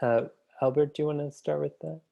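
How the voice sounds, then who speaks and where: A man's voice: fairly high pitch, steady tone, brisk, gravelly, mellow, muffled recording. A man moderating an online panel discussion, talking in a thoughtful, searching way with frequent restarts, over a video call.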